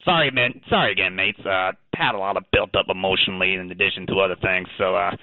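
A man's voice: a little high, wildly swooping pitch, no room sound. A man voicing a cheeky, touchy pet dog with an Australian accent, blustery and slangy, in a comic radio phone interview. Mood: sheepish. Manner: blustery, slangy, quick to take offense then apologize. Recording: thin phone line.

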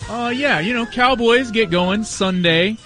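A man's voice: sits high, unhurried, music in the background. A man hosting radio, filling air time with upbeat banter and laughter. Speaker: radio host.

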